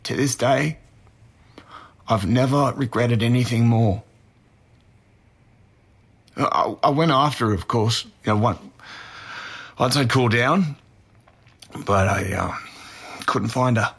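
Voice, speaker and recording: A man's voice: mid-range voice, slow, creaky, long pauses, fairly bright tone, slightly rough, exaggerated voice. A man acting as a defensive Australian murder suspect in his twenties, speaking earnestly in blunt slang in an interview-style audio drama. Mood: regretful, defensive. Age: 20s.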